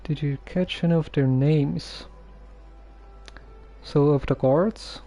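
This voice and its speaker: A game streamer giving casual live commentary in a hesitant, low-key, musing way. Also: slow, warm tone, soft background music.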